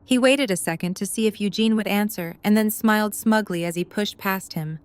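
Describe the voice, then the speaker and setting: A woman's voice: mid-range voice, a little fast, smooth. A woman narrating a scripted story as the narrator of an audio drama.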